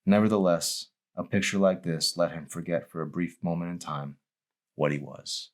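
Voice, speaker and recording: A man's voice: low voice, normal speed, a little mellow. A man reading his own novel aloud as a dramatic audiobook narration.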